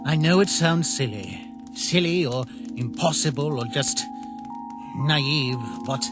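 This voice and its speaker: A man voicing a naive, caring younger-brother skeleton in a comic dub, speaking earnestly and haltingly in a soft plea. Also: a little high. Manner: earnest, halting, softly pleading. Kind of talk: dramatic comic dub acting. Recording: voice over background music.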